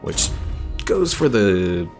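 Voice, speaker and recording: A man's voice: lowish voice, big pitch swings, extremely slow. A man doing casual live gameplay commentary, thinking aloud and explaining how things work. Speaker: video game streamer. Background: game music.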